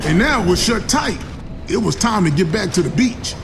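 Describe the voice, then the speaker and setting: A man's voice: high voice, lively intonation, moderate pace, loud music behind the voice. A man playing a boisterous, brash, hyped-up soldier and ex-athlete in a video game. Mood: urgent. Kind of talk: video game cutscene acting.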